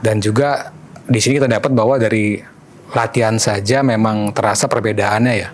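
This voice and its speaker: A man's voice: on the low side, steady tone, normal speed. A man giving a semi-casual spoken testimonial as a training participant. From Bogor, Indonesia. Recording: clear background noise, room ambience.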